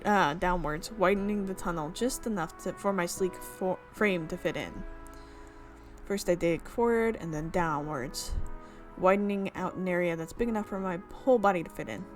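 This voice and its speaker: A woman's voice: fairly low pitch, moderate pace, soft background music. A young woman reading a visual novel aloud and tossing in casual, chatty comments and giggles. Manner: casual, chatty asides and giggles between narration. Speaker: let's play streamer.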